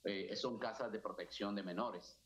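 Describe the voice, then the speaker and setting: A middle-aged man from India speaking Spanish with an Indian accent, an earnest priest talking haltingly with many fillers during a video-call TV interview. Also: on the low side, steady tone. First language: not Spanish. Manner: earnest, gestures a lot, halting non-native phrasing with many fillers. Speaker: priest, foundation director. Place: indoor office with a religious statue.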